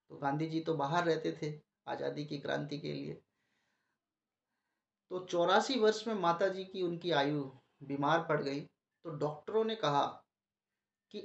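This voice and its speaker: A man's voice: a little high, gravelly. A man with a sore throat giving a devotional talk to a gathering, asking the audience questions and answering them himself. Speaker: preacher. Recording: muffled, echoey room.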